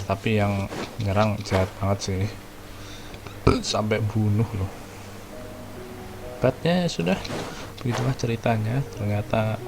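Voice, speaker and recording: Gravelly casual male game streamer. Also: lowish voice, low-quality recording. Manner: chatty, slangy, reacts to story. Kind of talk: casual let's play commentary. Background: game music.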